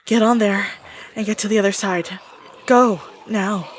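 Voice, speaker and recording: A woman's voice: mid-range voice, fairly even intonation, unhurried, a little hiss or hum, lightly acted. A woman playing a desperate, protective zombie outbreak survivor in an audio drama, giving urgent, clipped commands. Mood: urgent, tense.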